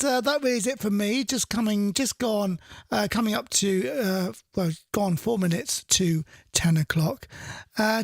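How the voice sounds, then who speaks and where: Male soul-radio presenter, informal and chatty, fry in the voice, recorded dry and close, loose unscripted delivery. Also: high voice, no echo. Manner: chatty, rambling, self-correcting. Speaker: radio DJ and show host. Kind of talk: casual radio presenting between soul songs.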